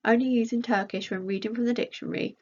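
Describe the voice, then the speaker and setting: Husky woman presenting research, muffled recording. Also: on the high side, steady tone, quick pace, a little mellow. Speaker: PhD researcher presenting at a conference. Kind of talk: scripted academic presentation, read aloud.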